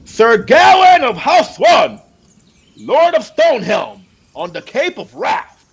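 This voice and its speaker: A man voicing a formal tournament herald in an audiobook, bellowing out drawn-out announcements. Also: extremely high pitch, wildly swooping pitch, pitched above the performer's own voice, full character voice. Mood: booming, formal. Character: tournament herald bellowing knights' names and titles. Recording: clear background noise.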